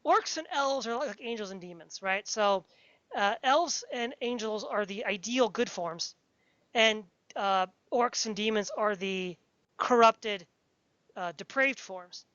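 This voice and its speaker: A woman's voice: mid-range voice, slow pace, fairly bright tone, no echo, highs cut off. A woman speaking in an opinionated, casual discussion, insistent and pedantic in the way she makes her points. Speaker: podcast speaker discussing fantasy.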